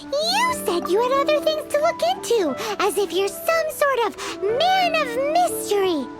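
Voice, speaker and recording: A woman voicing a tiny, childlike, cheeky fairy companion in a video game, blunt and teasing, with a squeaky voice. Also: very animated, unhurried, full character voice. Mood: skeptical, teasing. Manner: speaks of herself in third person, blunt, teasing. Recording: music loud behind the voice.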